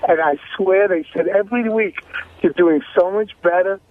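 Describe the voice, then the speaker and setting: A man's voice: high-pitched, normal speed, dry recording. A man telling a casual personal anecdote as a guest on talk radio. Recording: dull lo-fi sound with faint noise.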